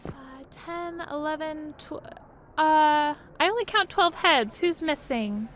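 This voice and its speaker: Woman doing folksy caravan boss lady, really high bright voice, brisk, audio drama. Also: slight background noise. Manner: folksy, businesslike. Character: brisk, easygoing female caravan leader in a wasteland. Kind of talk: fan audio drama acting.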